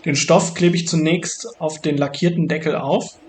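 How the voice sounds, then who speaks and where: A man's voice: on the high side, fairly even intonation, moderate pace, slight background noise. A man narrating a do-it-yourself build tutorial in a casual way. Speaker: maker narrating a build video.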